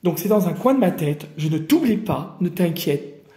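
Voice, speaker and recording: A man from the Paris region gives an improvised oracle card reading in a roomy, echoing space. His voice sits a little high but sounds mellow and soft-edged. He rambles along in a familiar way, speaking right to the viewer as a friend. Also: animated, moderate pace. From Paris region, France. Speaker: card reader hosting daily guidance videos. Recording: roomy echoey room.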